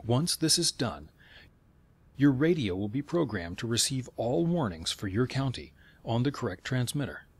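A man reading scripted instructional narration in a measured, step-by-step way. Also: medium pitch, sing-song, slightly rough, dry recording.

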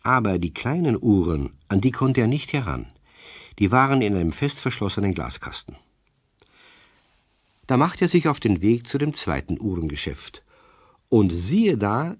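Deep guy narrator for a kids audio story, really expressive, dry sound. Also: no echo. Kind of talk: children's storytelling, scripted narration.

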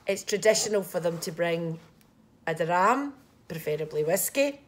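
A woman's voice: on the low side, animated, normal speed. A middle-aged Scottish woman giving a scripted presentation and reading aloud for a community group. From Scotland.

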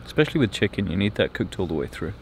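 A man casually narrating a sailing vlog from a boat, calmly explaining each step as he goes. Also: low voice, rapid-fire, gravelly. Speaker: sailing vlogger. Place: outdoors on a boat. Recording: outdoor noise from wind and engine.

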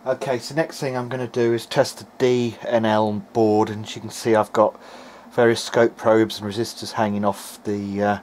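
Male hobbyist presenter, lowish pitch, casual and hesitant technical walkthrough, slight background noise. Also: level tone, moderate pace, few pauses, a little mellow. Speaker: hobbyist presenter of an electronics repair video diary. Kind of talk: casual technical narration while demonstrating.

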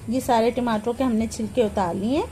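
A woman's voice: fairly high pitch, steady tone, mellow, faint music behind. A woman hosting a home cooking channel, explaining each step of a recipe patiently in a plain, homely way, recorded on a phone in her kitchen. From Pakistan.

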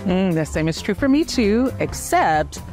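Bubbly lively female travel host. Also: medium pitch, measured pace. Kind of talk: playful scripted banter. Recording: music under the voice.

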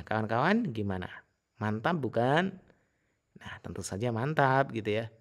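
A man giving a casual, informal software tutorial in an explanatory way. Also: mid-range voice, vocal fry. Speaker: tutorial host.

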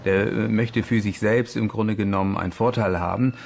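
A man's voice: lowish voice, steady tone, normal speed, creaky, a little mellow. Man explaining in interview, faint background noise. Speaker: expert interviewee. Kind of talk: unscripted explanatory interview talk.

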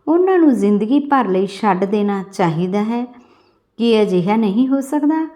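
A woman narrator reads a scripted audio story. Her voice sits fairly high, and she delivers the tale as prepared narration for listeners. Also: a little mellow.

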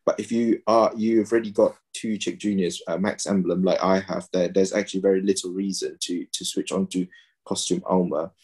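A man in his thirties from Malaysia talks with a very low, deep voice. He pauses often and fills gaps with "uh" as he explains things on a video call from a room at home. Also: steady tone, darkish tone, breathy edge. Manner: hesitant, many fillers and restarts. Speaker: guest, pediatrician and game player. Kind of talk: casual video-call interview.

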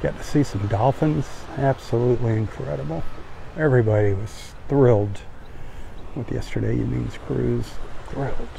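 A man hosting a live beach walk, chatting in a relaxed, folksy, cheerful way while filming outdoors on a phone. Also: mid-range voice, moderate pace, vocal fry, background noise. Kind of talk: casual live narration while walking. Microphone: phone camera held while filming.

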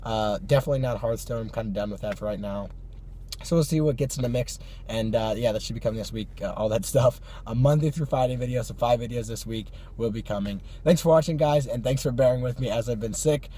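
A hoarse young man with a cold, chatting casually to camera from his parked car at night, with many fillers and small laughs. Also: medium pitch, fast talker, dark tone, a little hiss or hum. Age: young adult. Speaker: vlog host. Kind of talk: casual car vlog chat. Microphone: phone or camera on the dashboard.

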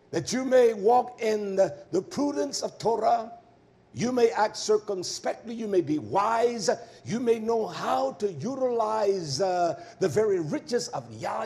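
A male preacher with a strikingly high-pitched voice delivers a fiery scripture sermon. He is stern and combative, exhorting his listeners and hammering key words by repeating them. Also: dark tone. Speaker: preacher and Torah teacher. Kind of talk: fiery sermon with verse-by-verse exposition.

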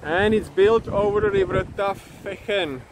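A man in his thirties, not a native English speaker, hosting a casual walking vlog outdoors and talking into a phone held at arm's length, with many fillers and halting restarts. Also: high-pitched. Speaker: vlogger. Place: outdoors in countryside by a river. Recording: outdoor wind and stream noise.

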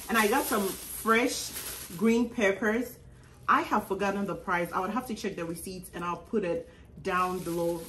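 A young Ghanaian woman talks her way through a grocery haul in a relaxed, chatty vlog voice, peppered with ums. She sits across the table from the camera, so the sound carries a roomy echo and some distance, over a faint hiss. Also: mid-range voice. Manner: chatty, animated, many um fillers. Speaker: vlogger. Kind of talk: casual grocery haul chat. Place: small indoor room at a table.

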